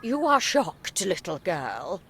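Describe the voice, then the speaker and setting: Expressive, authoritative orphanage headmistress. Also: female voice, fairly low pitch, takes it slowly, breathy edge, heavily acted. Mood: composed, dismissive. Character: composed, authoritative orphanage headmistress, measured and skeptical. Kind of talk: animated horror adventure game acting.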